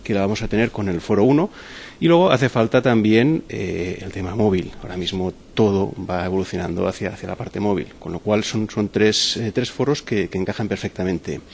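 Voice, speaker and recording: A man's voice: lowish voice, brisk, a little mellow. A man from presents a forum in an explanatory way, repeating words as he goes. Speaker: university event presenter. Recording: clear background ambient noise.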